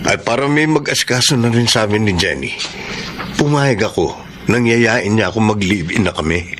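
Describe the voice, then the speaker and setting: A man's voice: on the low side, normal speed, a bit bright, a little hiss or hum, lightly acted. An actor playing an emotional, trusting single father in a melodramatic radio drama. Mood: earnest.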